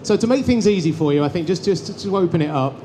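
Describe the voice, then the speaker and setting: A man, loud and lively, presenting and chairing a conference panel with many fillers. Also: sits high, quick pace, noisy background. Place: conference hall stage. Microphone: headset microphone.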